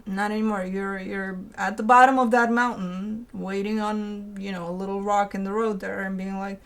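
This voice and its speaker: A woman with a mid-pitched voice reads tarot cards as host. She talks conversationally and loosely, leaning on key words. She often repeats short phrases and poses rhetorical questions. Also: fairly even intonation, normal speed, a bit bright. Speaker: tarot reader hosting her own show. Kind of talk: informal, rambling tarot card reading.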